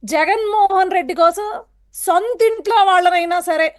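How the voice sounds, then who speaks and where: A woman speaking in a heated television political debate, forceful and accusatory, firing rhetorical questions. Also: extremely high pitch, moderate pace. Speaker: political panel guest.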